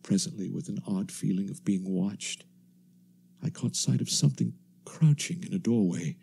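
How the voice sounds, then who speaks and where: An actor playing a weary, watchful wandering survivor in a radio drama, speaking in a measured, solemn, literary way. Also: male voice, low-pitched, vocal fry, dark tone, slightly rough, exaggerated voice. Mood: somber, haunted. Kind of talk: dramatic radio play narration.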